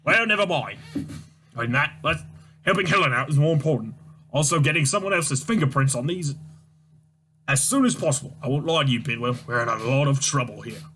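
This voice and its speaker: Man playing a brash booming company boss, raised pitch, animated swoops. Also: brisk. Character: boisterous brash middle-aged company boss. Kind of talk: reading a comic aloud, all voices.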